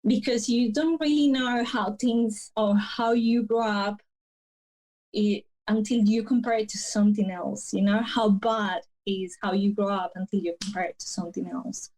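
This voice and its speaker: A woman from Colombia, a podcast guest, telling her life story slowly and haltingly, with many pauses and fillers. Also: mid-range voice, steady tone, poor audio. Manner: slow, soft-spoken, halting with many fillers. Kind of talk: personal storytelling interview.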